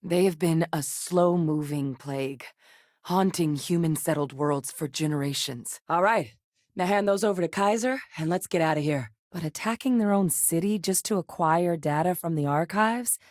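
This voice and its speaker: Woman doing a steady scientist-soldier lady, kinda low voice, earnest and direct, dry close studio mic. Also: moderate pace, no echo, lightly acted. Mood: measured. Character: composed female scientist and military officer. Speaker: game character voice. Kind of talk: video game voice lines. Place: recording studio.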